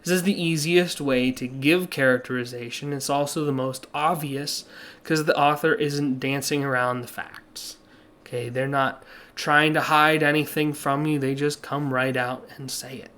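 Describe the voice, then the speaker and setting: Brief: male teacher, a bit hoarse, patient and plain, lots of "uh", mid-pitched voice, screen-recorded slide lesson. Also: fairly even intonation, moderate pace, fairly bright tone. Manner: plain, patient explaining with many "uh" fillers. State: slightly hoarse.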